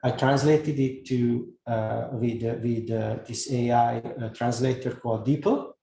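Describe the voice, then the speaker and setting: A Hungarian-born man speaks slowly to a live audience, halting and restarting often. His voice has a gravelly fry. The room is echoey, with faint noise underneath. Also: mid-range voice, fairly even intonation, mellow. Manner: halting, many fillers and restarts. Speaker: speaker giving a talk on AI tools. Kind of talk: presentation to an audience.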